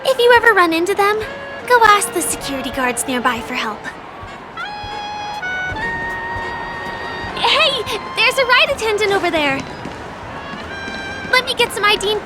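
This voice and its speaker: A woman's voice: very high voice, sing-song, a little fast. A woman voicing a cheerful, eager young tour guide in a video game, chatty and friendly as she shows someone around. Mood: cautioning, playful. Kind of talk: video game character voice acting. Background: game music.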